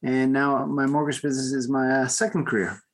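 A man's voice: medium pitch, little pitch movement, fairly quick. A man in his forties from Peru, raised in Toronto, speaking as an interview guest in a reflective way, often adding "right?" and "uh". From born in Peru, raised in Toronto. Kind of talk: casual interview chat.

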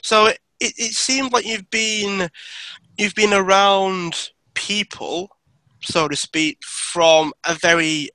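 A man's voice: high-pitched, steady tone, slow, bright, forceful tone, no echo, poor audio. A man hosting an interview show, reading introductions and putting questions to a guest.

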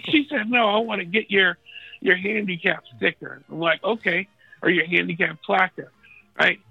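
A man with a raspy voice, thirsty and unfed in a hospital room, telling a story in a laid-back, slangy way. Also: high voice, normal speed, no room sound, highs cut off, soft background music. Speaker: guest calling in from a hospital bed. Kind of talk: casual storytelling chat.

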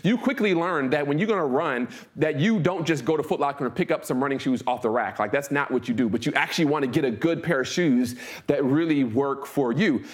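American man around forty, slightly high voice. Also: fairly quick. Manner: informal, emphatic, repeats phrases and checks in with listeners. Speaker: speaker giving a talk. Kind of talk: conversational motivational talk with personal anecdotes.

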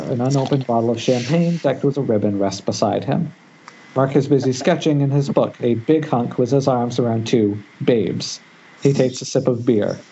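A man's voice: medium pitch, dark tone, a little hiss or hum. A man reading screenplay stage directions as narrator at a table read, now and then stumbling over words. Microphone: Skype call. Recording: dull internet call.

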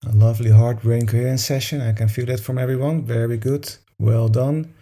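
A man's voice: mid-range voice, steady tone, a little fast, dark tone. A man leading a meditation session, talking informally to a group in a soothing, encouraging manner.

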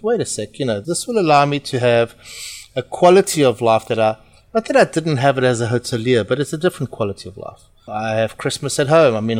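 A middle-aged man with a South African accent and Portuguese roots, talking earnestly as a podcast guest about his work. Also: medium pitch. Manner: earnest, reflective, with fillers. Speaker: podcast guest, franchise owner. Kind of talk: casual interview talk.

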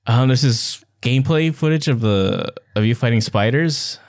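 A man chatting casually as a podcast co-host, in hesitant, halting phrases. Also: medium pitch, no echo, studio quality. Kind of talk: casual chat.

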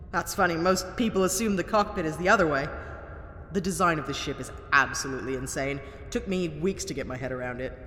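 A woman's voice: deep voice, moderate pace, fairly bright tone, slight background noise. A woman playing a wry, guarded criminal and former detective in a science fiction audio drama, with a casual, teasing way of talking. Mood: breezy.

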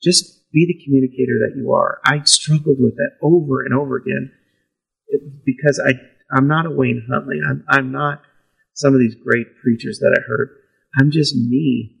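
Gravelly self-deprecating pastor, a man. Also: mid-range voice, steady tone, normal speed, a bit bright, rough recording. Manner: self-deprecating, laughs easily. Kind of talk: candid conversational storytelling.

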